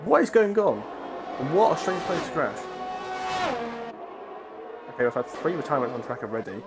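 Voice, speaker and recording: A man's voice: a little high, big pitch swings, normal speed, music in the background. A man with a cough and a sore throat from a cold, giving rambling, chatty live commentary on an online racing league and often breaking off mid-thought. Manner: rambling, chatty, self-interrupting. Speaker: race commentator.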